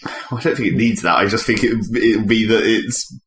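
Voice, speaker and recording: Lowish male voice in echoey room. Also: fairly quick, fairly bright tone. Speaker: podcast co-host. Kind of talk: casual gaming podcast discussion. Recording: echoey room with faint background noise.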